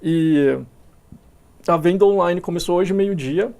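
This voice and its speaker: A young man from Minas Gerais, a musician being interviewed, talking in a relaxed way with many fillers and restarts. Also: high voice, unhurried. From Minas Gerais, Brazil. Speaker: musician guest. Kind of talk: casual interview talk.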